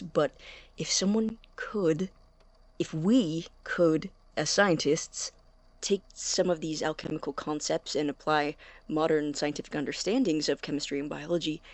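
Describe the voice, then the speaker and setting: Woman as young male science student, low voice, close dry sound, earnest, obsessive, insistent, audio drama. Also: no room sound.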